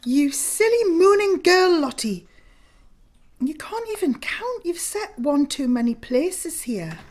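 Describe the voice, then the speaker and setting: A woman playing a stern, haughty middle-aged matron in an audio drama, sharp, scornful and commanding. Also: sits high, very animated, takes it slowly, exaggerated voice. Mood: brisk, scolding. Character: stern, haughty Edwardian matron of a care home.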